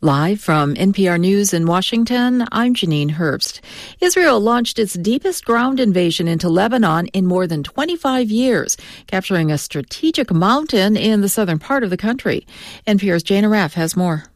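Lady reading the news in a dead-dry studio, crisp and even. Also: mid-range voice, normal speed, no echo. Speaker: newscaster. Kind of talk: scripted newscast.

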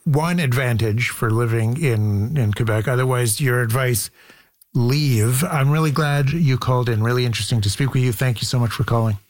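A man hosts live talk radio from a dry, close-miked studio. His voice is mid-pitched, and he talks conversationally, putting questions directly. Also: moderate pace, no room sound. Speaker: radio phone-in host.

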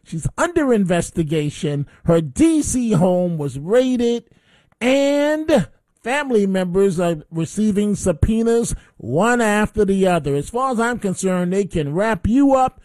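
A man's voice: high voice, very animated, unhurried, a little mellow, dry recording. A man hosting a talk radio show, rambling and combative, speaking on a studio microphone.

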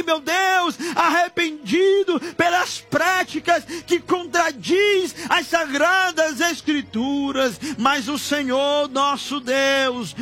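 High, impassioned Brazilian male preacher in prayer. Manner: pleading, emotional, repeatedly swelling into shouted cries. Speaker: preacher leading prayer on a broadcast. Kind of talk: fervent extemporaneous Pentecostal prayer. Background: background music.

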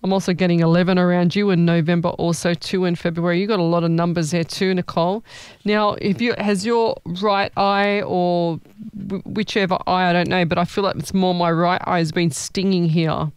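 Clear-voiced woman chatting as a psychic. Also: on the low side, steady tone, a little fast, hardly any pauses, a little mellow, no echo. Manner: chatty, informal, exclaiming. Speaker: psychic medium host. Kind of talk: casual live chat with spiritual readings.

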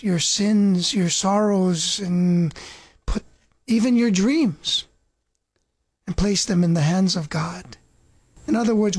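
Woman host talking real slow, kinda breathy, earnest and measured. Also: mid-range voice, darkish tone. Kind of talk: scripted intro and interview questions.

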